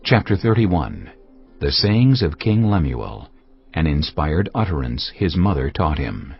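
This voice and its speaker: A man's voice: extremely low pitch, big pitch swings, measured pace, husky. A man narrating scripture as a scripted announcer. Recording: dull lo-fi audio with background music.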